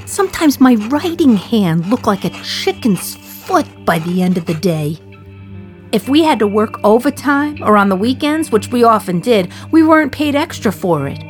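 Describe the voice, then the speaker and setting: An actress playing a proud, passionate working-class communist prisoner in a radio play monologue, talking in a colloquial, emphatic, storytelling way. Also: female voice, a little high, very animated, normal speed, soft background music. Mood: proud, reflective.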